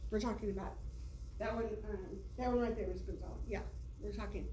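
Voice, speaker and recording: A woman's voice: medium pitch, fairly even intonation, brisk, dark tone, slight background noise. A woman teacher talking casually to colleagues in a meeting room, loose and chatty with many fillers. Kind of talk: casual professional development talk. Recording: roomy echo, heard from a distance.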